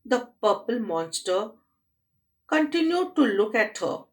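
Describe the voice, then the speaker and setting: Female children's storyteller and teacher: highish pitch, unhurried, expressive read-aloud. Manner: addresses small children, deliberate expressive phrasing. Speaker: storytelling teacher at a day care.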